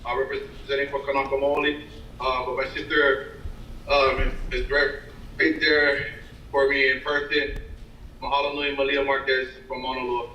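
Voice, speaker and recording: Flat-voiced man from Hawaii on a call. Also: mid-range voice, echoey room, far from the mic, highs cut off, a little hiss or hum. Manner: halting, with fillers. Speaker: community representative. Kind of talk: brief self-introduction.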